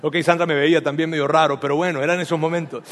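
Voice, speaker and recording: A man's voice: on the high side, little pitch movement, fast talker, long reverb tail. A man from Venezuela, preaching a sermon with humorous stories and asking his audience questions.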